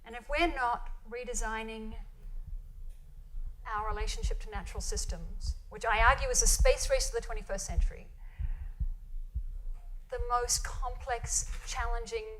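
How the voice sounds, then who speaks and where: Quiet-spoken Australian woman lecturing. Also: fairly high pitch, normal speed, pauses often, poor audio. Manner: quiet, self-interrupting, rhetorical questions with "right?". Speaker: guest lecturer. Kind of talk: university lecture. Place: lecture hall.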